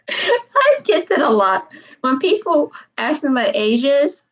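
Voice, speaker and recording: A woman's voice: high voice, wildly swooping pitch, drawn-out words, dull audio. A woman in her twenties chatting casually on a live stream, wandering and repeating herself. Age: 20s. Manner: meandering, repeats herself, frequent "um". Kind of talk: rambling casual live chat.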